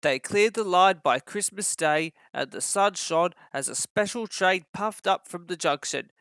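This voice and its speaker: A man's voice: high voice, animated, a little slow, fairly bright tone, smooth, no echo. A man reading a children's story as a scripted narration.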